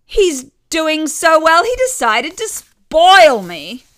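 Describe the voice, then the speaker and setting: A woman's voice: high-pitched, wildly swooping pitch, slow pace, no room sound, clean studio sound, voice pushed up, heavily acted. A woman voicing a cheerfully deluded, nagging elderly mother in a short story, with a gushing, prying manner. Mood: beaming, boastful. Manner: loud, gushing, pointedly prying. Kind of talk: audio short story character voice.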